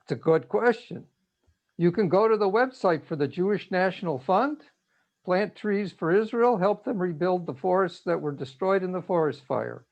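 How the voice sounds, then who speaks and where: A man's voice: high-pitched, moderate pace, creaky, muffled recording. A man playing a personified holiday in an amateur reader's theater, delivering corny comic patter on a video call. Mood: earnest. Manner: corny question-and-answer joke delivery.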